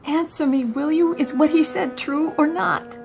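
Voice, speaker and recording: An actress playing a frightened, insistent airline passenger in a radio drama. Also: female voice, high voice, normal speed, vocal fry. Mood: fearful, demanding.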